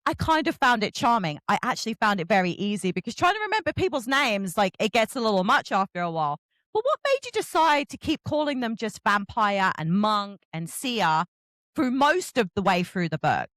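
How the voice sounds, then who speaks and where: A woman's voice: mid-range voice, sing-song, a little fast, a bit bright, no room sound. A woman from Kent, chatting casually and effusively as she hosts an interview, often joking. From Kent, England. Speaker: book podcast host interviewing an author.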